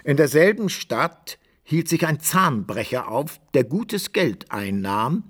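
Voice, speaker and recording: A man narrating a literary radio drama in an expressive, measured storytelling manner. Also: fairly high pitch, breathy edge.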